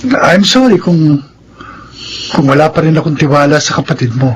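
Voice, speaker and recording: A man acting an earnest, protective young suitor in a Filipino radio drama, speaking deliberately with pauses. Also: on the high side, slight background noise, lightly acted, rough recording. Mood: apologetic, concerned. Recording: radio drama with background music.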